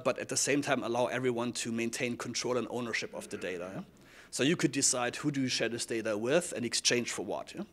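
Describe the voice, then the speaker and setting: A man's voice: medium pitch, a bit bright. A man with a German accent presenting and explaining in a talk, often checking in with short questioning tags. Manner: frequent questioning tags like "yeah?" and "right?". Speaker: technology foundation speaker giving a presentation.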